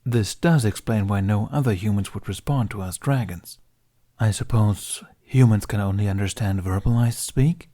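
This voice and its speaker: A man's voice: on the low side, moderate pace, dark tone, lightly acted. A man voicing an exasperated male dragon companion who speaks in a formal, precise way. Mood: dry, formal.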